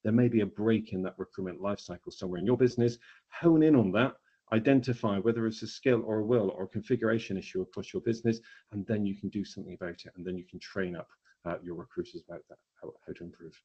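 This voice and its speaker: A man's voice: lowish voice, quick pace, mellow, breathy edge. A man presenting a business training webinar in a conversational, explanatory way, stressing key words as he goes. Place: online webinar. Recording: dull, lo-fi online webinar audio.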